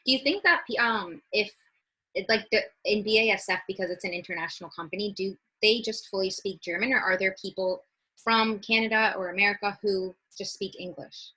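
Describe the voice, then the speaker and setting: A woman's voice: medium pitch, brisk, pushed and bright, breathy edge, poor audio. A woman hosting an interview, curious and asking follow-up questions. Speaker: podcast host.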